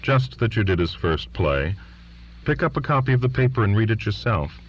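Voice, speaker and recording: Brusque male agent, clipped and dry, deep voice, read by a male narrator, muffled sound. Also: expressive, normal speed, husky. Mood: curt. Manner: curt and matter-of-fact.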